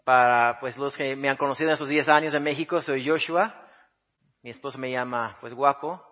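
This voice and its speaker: A man's voice: medium pitch, brisk, big-room sound, dull audio. A man from Spain whose Spanish has taken on a Mexican accent, preaching a sermon as a guest missionary pastor in an earnest, conversational way with frequent hesitations. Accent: Spanish with Mexican Spanish influence.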